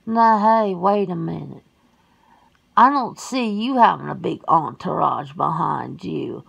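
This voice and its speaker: A woman's voice: on the low side, takes it slowly, creaky, a bit bright, breathy edge, highs cut off, exaggerated voice. A woman playing a folksy, plain-spoken werewolf sister in an ASMR roleplay, talking casually and colloquially. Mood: defensive.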